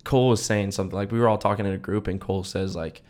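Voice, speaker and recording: A young man with a slightly low voice co-hosts a podcast. He tells stories casually and slangily, acting out the people in them in an animated way. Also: rapid-fire. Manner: animated slangy storyteller, acts out quotes. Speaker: podcast co-host. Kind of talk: casual chat, storytelling.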